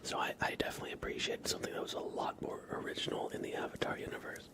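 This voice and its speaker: A man hosting an ASMR livestream, chatting softly and mostly in a breathy whisper. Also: very fast. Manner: soft-spoken, mostly whispering. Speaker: livestream host.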